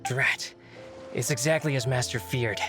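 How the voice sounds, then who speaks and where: A man's voice: medium pitch, unhurried, natural voice. A man voicing a dutiful, anxious retainer in a video game, polite and earnest. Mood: alarmed. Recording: game music behind the voice.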